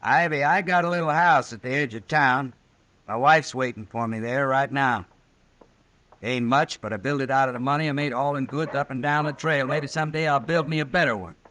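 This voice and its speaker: A man's voice: mid-range voice, fairly quick, bright, forceful tone, natural voice. A man playing an honest, stubborn mule driver with a rural American accent, plain-spoken, in an old-time radio western. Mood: defiant. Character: honest, stubborn mule-driving freight hauler.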